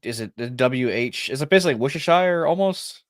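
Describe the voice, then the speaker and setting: Teasing Southern-accented co-host. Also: male voice, mid-range voice, animated, a little fast, no room sound, studio quality. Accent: Louisiana Southern. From Louisiana. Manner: teasing, joking. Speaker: podcast co-host. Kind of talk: casual livestream chat.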